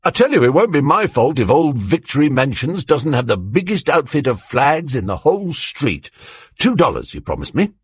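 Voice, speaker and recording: A man's voice: fairly high pitch, sing-song, normal speed, husky, dry recording, pitched above the performer's own voice. A man voicing a cheery, zealous, bluff male neighbour who talks in a chummy way. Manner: cheery and eager, chummy "old boy" talk.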